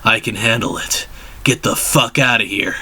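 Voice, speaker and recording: A man as a cocky, gruff hedgehog henchman. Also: fairly low pitch, moderate pace, sharp tone, exaggerated voice.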